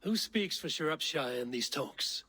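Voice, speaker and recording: An actor voicing a steady, stern Viking warrior leader in a video game, measured, grave and commanding. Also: male voice, on the high side, breathy edge, exaggerated voice. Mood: firm. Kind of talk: video game character acting. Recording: music behind the voice.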